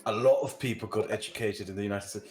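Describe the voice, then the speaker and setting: A man's voice: fairly low pitch, steady tone, fast, a loud crowd. A man in a casual online group chat, insisting on finishing his point. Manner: insistent, argumentative. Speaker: panelist. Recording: echoey mic.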